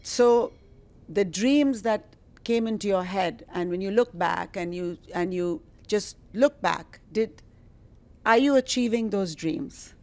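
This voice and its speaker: A woman hosting a casual interview, speaking in a halting, familiar, chatty way. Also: mid-range voice, darkish tone. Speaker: interview host.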